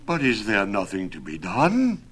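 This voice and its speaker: Storybook role: gullible working carpenter, acted by a male narrator, fretful and oath-swearing, voice rough-edged and swinging widely. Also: mid-range voice, sing-song, measured pace, pitched above the performer's own voice, full character voice. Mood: grieving, pleading. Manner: exclamatory, oath-swearing, loud. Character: pious, credulous working-class carpenter, alarmed and fretful. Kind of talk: dramatised verse storytelling.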